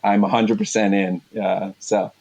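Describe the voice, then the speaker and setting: A man with a fairly low voice shares an anecdote in a relaxed group interview. His delivery is halting and hesitant, as he feels his way through each phrase. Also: vocal fry. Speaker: voice actor, interviewed cast member.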